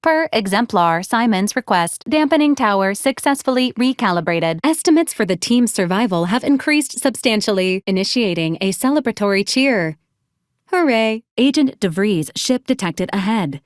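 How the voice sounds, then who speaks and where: Woman doing a female robot sidekick from a video game, deadpan n formal, clipped announcements, no room sound. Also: medium pitch, normal speed, no room sound, studio quality, natural voice. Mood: politely congratulatory. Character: female-voiced hovering robot companion, clinical, officious, dryly literal. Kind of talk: scripted video game character lines.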